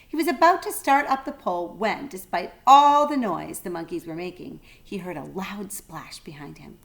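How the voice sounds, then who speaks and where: A woman's voice: lowish voice, lively intonation, normal speed, gravelly. A woman reading a children's storybook aloud like a teacher, expressively and speaking directly to young listeners.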